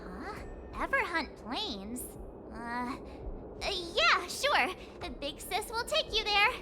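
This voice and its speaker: A woman's voice: extremely high pitch, big pitch swings, slow, music in the background, exaggerated voice. A woman playing a small, childlike-sounding but earnest adult woman in a video game, precise and a little hesitant. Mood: confused, hesitant.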